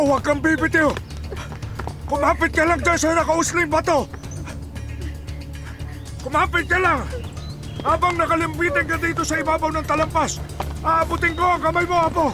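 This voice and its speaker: A man's voice: very high voice, flat delivery, moderate pace, long pauses, music in the background. A man playing an emotional, protective old grandfather in a Filipino radio drama, speaking emphatically and pleadingly. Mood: desperate urging. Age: older. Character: frail, loving old grandfather, reproachful and protective.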